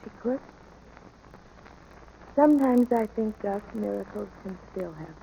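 An actress playing an anxious, tender ranch wife and mother in an old radio drama, speaking softly and earnestly. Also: mid-range voice, animated, a little slow, creaky, phone-quality sound. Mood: hopeful, tender. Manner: soft, earnest, pleading. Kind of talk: 1940s radio drama acting. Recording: old noisy radio broadcast.

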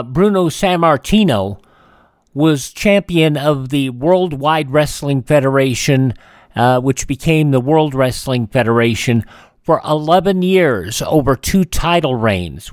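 Man hosting radio with lively pitch swings. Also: slow, no room sound, clean studio sound. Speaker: radio show host. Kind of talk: radio almanac narration.

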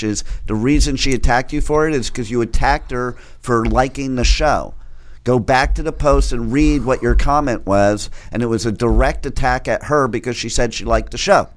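A man's voice: mid-range voice, no echo. A man hosting a radio talk show, bantering bluntly and joking as he talks over his co-hosts.

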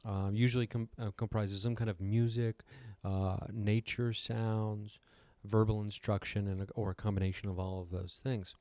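Man, low-pitched, slightly raspy, relaxed tone, casual rambling monologue, wry asides. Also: moderate pace, dry recording. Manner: wry, self-deprecating asides. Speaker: host giving a talk on meditation. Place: hotel room.

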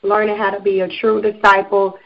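A woman's voice: mid-range voice, level tone, brisk, gravelly, dull audio. A woman in her twenties, a gospel singer interviewed on radio, speaking earnestly about faith and ministry. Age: 20s. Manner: earnest, testifying.

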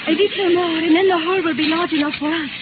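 A woman's voice: extremely high pitch, fairly even intonation, fast, narrow, tinny audio. A woman acting a brave, resolute frontier wife giving urgent orders in a scratchy old 1930s radio drama. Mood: urgent, commanding.

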